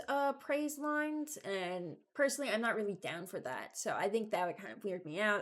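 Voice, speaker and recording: A woman giving casual reaction commentary as a video host, rambling and thinking aloud as she ranks game voice lines. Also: mid-range voice.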